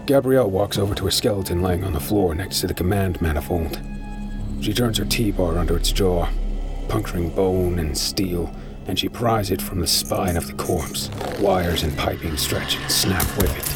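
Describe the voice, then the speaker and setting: An actor playing a hardened pirate scavenger in an audio drama, narrating in a grim, measured first person. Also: male voice, low-pitched. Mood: brooding. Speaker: narrator and lead character. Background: loud music.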